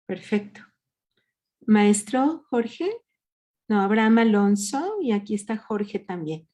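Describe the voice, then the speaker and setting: A woman's voice: medium pitch, lively intonation, slow pace, warm tone. A woman leading an online workshop, patiently asking her group questions over a video call. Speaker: workshop leader.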